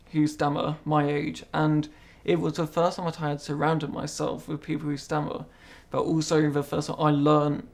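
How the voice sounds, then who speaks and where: A young man who stammers, being interviewed and speaking slowly and carefully, often repeating words as he goes. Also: on the high side, gravelly, darkish tone. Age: young adult. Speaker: interviewee, apprentice. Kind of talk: interview conversation.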